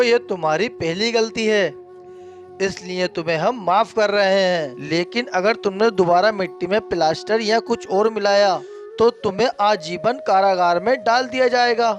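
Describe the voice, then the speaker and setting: A man voicing a stern, suspicious male ruler in a moral story, formal and admonishing, over music. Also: high voice. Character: stern, suspicious male royal court authority. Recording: music behind the voice.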